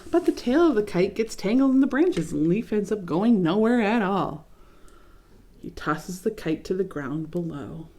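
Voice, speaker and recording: A young adult woman reading a picture book aloud to children, gently commenting and asking them questions. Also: mid-range voice, animated, normal speed. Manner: gentle, asks listeners questions, adds comments. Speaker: children's story reader.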